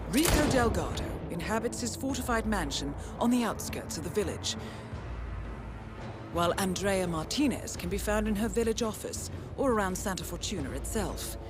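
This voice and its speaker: An actress playing a composed female intelligence handler, giving a precise, measured mission briefing in a video game. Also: mid-range voice, natural voice. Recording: game audio over loud music.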